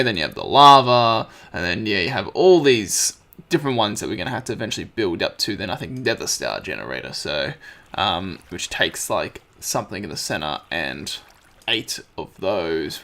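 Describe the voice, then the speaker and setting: A man with a slightly croaky voice, casually commenting on his gameplay and thinking aloud as he crafts. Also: lowish voice, bright, forceful tone. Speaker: let's play host.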